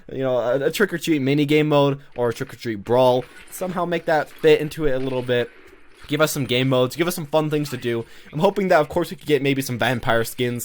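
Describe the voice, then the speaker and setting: A man giving casual, unscripted gaming commentary as a video host, rambling and often repeating himself. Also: medium pitch. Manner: rambling, repeats himself, peppers talk with "you know".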